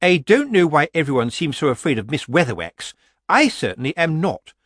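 Man putting on a shrill, haughty society witch. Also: big pitch swings, moderate pace, a bit bright, dry recording, studio quality. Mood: haughty indignation. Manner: prim, indignant, exclamatory. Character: haughty, brittle, bossy society witch, a woman.

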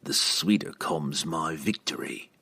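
A man voicing a cold, taunting assassin with a slow, purring, menacing delivery in a fantasy audiobook. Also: a bass voice, creaky, sharp tone, husky, voice pushed down, full character voice. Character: sinister, cold, taunting human male assassin.

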